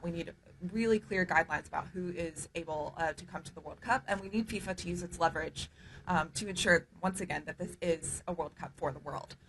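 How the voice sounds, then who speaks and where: Bright-voiced woman advocate at a podium. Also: fairly low pitch, a little hiss or hum, poor audio. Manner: measured, many "um" pauses. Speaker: human rights advocate. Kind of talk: press conference statement. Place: news conference room.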